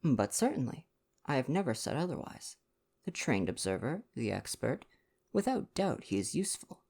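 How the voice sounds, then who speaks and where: Guy doing a fussy vain belgian detective, proud n theatrical, bit of gravel, storybook reading. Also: mid-range voice, sing-song, moderate pace, darkish tone, pitched above the performer's own voice, exaggerated voice. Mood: lecturing. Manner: pompous, emphatic, formal phrasing.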